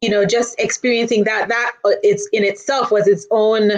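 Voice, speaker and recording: A woman speaks as a guest in a relaxed interview. Her pitch is mid-range, and she explains things in a chatty, conversational way, peppered with "you know". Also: moderate pace, rough recording. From Nigeria. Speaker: guest, children's storyteller. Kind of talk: casual interview chat.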